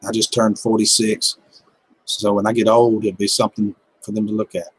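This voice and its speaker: Husky laid-back Southern man in his fifties. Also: on the low side, level tone, moderate pace, no room sound, low-quality recording. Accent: Southern American. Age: 50s. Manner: laid-back, joking, folksy rural speech. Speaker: livestream host, gardening channel. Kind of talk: casual chat reading viewer comments.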